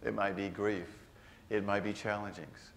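An older man, a priest, delivering a sermon in a large church, slowing down to stress key phrases. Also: on the low side, flat delivery, vocal fry. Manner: unhurried, earnest preaching with slowed emphatic phrases.

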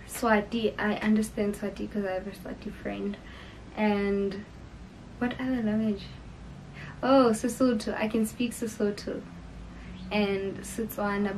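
A young South African woman talks in an unhurried, chatty way, gushing and sprinkling in ums. Her voice sits at a middling pitch, and a faint hiss stays low underneath. She is sitting in her bedroom facing the camera. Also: low-quality recording. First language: Sepedi. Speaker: YouTuber. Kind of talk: casual get-to-know-me Q&A. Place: bedroom, indoors. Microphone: camera in front, seated facing it.

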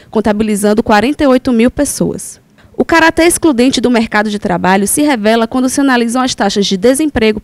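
A woman reporter reading a scripted news voice-over in a steady, clear way. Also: fairly high pitch, dry recording.

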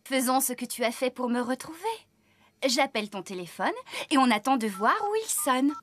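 A woman's voice: high-pitched, lively intonation, normal speed, fairly bright tone. A woman voicing a practical, slightly bossy young farmer in a children's cartoon, direct and instructing. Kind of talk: children's cartoon dub acting.

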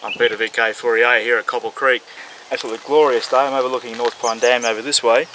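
A man's voice: mid-range voice, fairly even intonation, fast, bright, forceful tone, poor audio. An Australian man in his forties giving an informal outdoor demonstration as an amateur radio operator. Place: open grassy hillside outdoors. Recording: outdoor recording with clear background noise.

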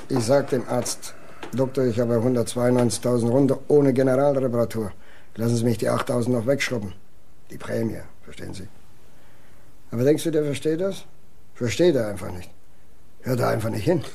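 A working-class truck driver in a radio drama. His voice is mellow and gravelly, and he talks in a resigned, grumbling, colloquial way. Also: mid-range voice, a little fast. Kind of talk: German radio drama acting.

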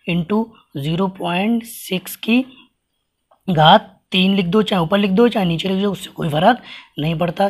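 Hoarse man teaching maths step by step. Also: high-pitched. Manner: patient, explains with repeated rhetorical questions. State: hoarse, voice giving out. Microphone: hands writing on paper, camera over the page.

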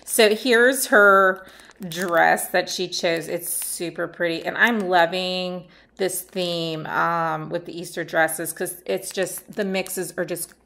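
A middle-aged woman chatting casually as she unboxes a swap package at home, rambling and thinking out loud, her voice a little worn as she comes down with something. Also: fairly low pitch, steady tone, takes it slowly, gravelly. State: losing her voice, getting sick. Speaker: nail art swap host. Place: sunny room at home by a window.

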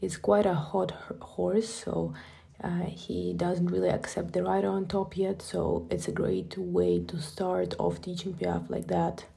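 A woman's voice: lowish voice, level tone, a little slow, gravelly, dark tone, slightly rough. A young woman vlogging casually about a dressage event, chatty with hesitant fillers, filmed on a handheld phone. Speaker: vlogger for a horse training team. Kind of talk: casual vlog narration. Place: outdoors at an equestrian venue and indoors.

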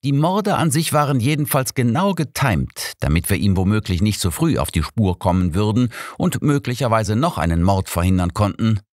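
An old master detective, voiced by a male narrator in a mystery audiobook, shrewd and insistent. He speaks with emphatic, deliberate stress, pitched up from narration, in a dry recording. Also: expressive, darkish tone, no room sound.

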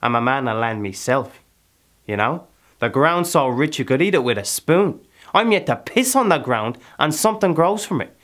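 A man with an Irish accent acting a dramatic monologue as a proud, brooding, restless settler who has killed, speaking deliberately with pauses. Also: mid-range voice, fairly even intonation, fairly bright tone, clean tone, lightly acted. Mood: impassioned, anguished.

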